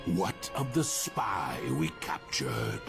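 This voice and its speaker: An actor playing an ancient, tyrannical supervillain master in a game cutscene, commanding and deliberate. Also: male voice, low voice, wildly swooping pitch, creaky, heavily acted. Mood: imperious. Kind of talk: dramatic video game cutscene acting. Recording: loud music behind the voice.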